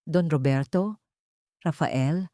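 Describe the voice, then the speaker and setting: A weary male doctor delivers news calmly and plainly, as read by a woman narrator. The tone is low and dark, close and dry. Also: slow pace, no echo. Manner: calm, informing. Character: tired but relieved male hospital doctor.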